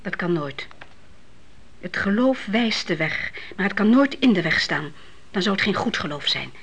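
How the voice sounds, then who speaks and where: An older, devout widow and mother in a radio play. Her voice is husky and often drops to a hush. She speaks with solemn sorrow but stays stern and firm in what she believes. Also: mid-range voice, lively intonation, moderate pace. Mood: wary, puzzled. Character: grieving, devout old widowed mother, stern and unyielding in faith.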